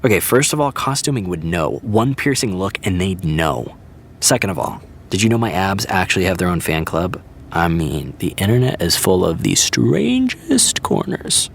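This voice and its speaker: A man's voice: low-pitched, very animated, moderate pace, gravelly, a little hiss or hum, heavily acted. A man playing a cocky but earnest actor in his thirties, talking in a laid-back, swaggering way with some swearing. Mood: teasing. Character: male actor, charming, cocky, earnest friend. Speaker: actor in a fiction podcast. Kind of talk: audio drama acting.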